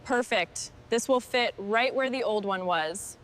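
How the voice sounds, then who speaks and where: A woman's voice: medium pitch, unhurried, slight background noise. A woman giving short scripted instructions and remarks as a mechanic on a restoration job.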